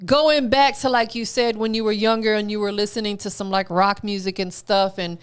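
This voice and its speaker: A woman's voice: mid-range voice, steady tone, a little fast, smooth. A middle-aged woman hosting a casual interview, speaking in an emphatic, affirming way. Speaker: podcast host.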